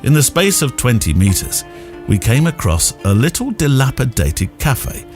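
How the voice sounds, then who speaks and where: A man reading a scripted essay in a studio as measured, literary narration. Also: mid-range voice, big pitch swings, darkish tone. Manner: measured storytelling read from text. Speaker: podcast host. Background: music.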